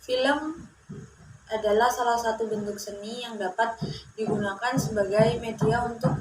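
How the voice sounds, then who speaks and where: A young woman, a university student, reading her class presentation aloud at a steady pace and often restarting words she trips over. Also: fairly high pitch, normal speed. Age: young adult. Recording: echoey room with faint background noise.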